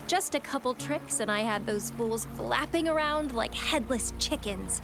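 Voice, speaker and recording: A woman's voice: on the high side, measured pace, gravelly, background noise. An actress playing a possessive, teasing, mysterious woman in a video game, with a languid, coy delivery. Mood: scornful, possessive. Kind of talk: video game character acting.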